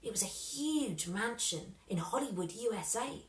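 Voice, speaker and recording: A woman reading a children's story aloud with expressive, dramatic emphasis. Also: on the high side, normal speed, roomy sound. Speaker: storybook reader.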